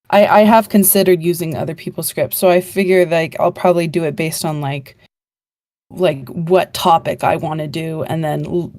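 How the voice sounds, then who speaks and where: Woman guest, lowish voice, giggly, dry close recording. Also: darkish tone, no room sound. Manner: giggly, uses "like" a lot. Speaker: panel guest, script writer. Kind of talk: casual panel chat.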